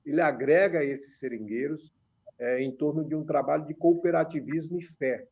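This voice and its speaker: Brazilian radio guest in his 50s; gravelly voice, measured delivery, muffled lo-fi broadcast. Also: fairly high pitch, darkish tone. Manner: measured, many filler pauses. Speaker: author and religious researcher guest. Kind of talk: radio interview, explaining. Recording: dull lo-fi radio sound.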